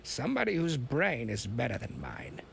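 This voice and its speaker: A man voicing a huge, shaggy, frightened scientist with a German accent who talks in hushed whispers. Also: medium pitch, huge pitch swings, moderate pace, vocal fry, slight background noise, heavily acted. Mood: fearful.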